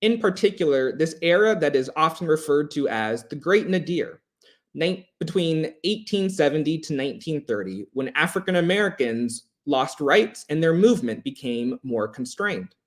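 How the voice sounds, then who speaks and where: A man with a slightly high voice delivers a prepared history lecture. His intonation swings widely as he stresses key words. The phrasing is formal and measured, in the manner of an educational presenter. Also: animated.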